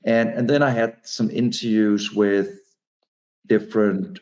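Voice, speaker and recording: A man's voice: on the low side, level tone, measured pace, darkish tone. A man in his forties, a native Danish speaker, talking about his book in a hesitant, restarting way. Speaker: author and speaker. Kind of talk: casual conversation.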